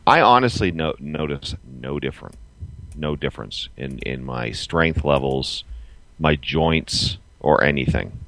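A man in his forties co-hosting a podcast, chatting casually and emphatically in a halting, repetitive way. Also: extremely low pitch, lively intonation, creaky, a bit bright, no echo. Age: 40s. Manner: halting, emphatic, repeats himself. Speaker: podcast co-host. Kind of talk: casual opinionated chat.

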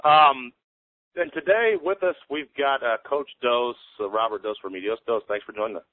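A man hosting a podcast interview in a casual way, introducing his guest with frequent ums and pauses. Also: mid-range voice, phone-quality sound. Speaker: podcast host, strength coach.

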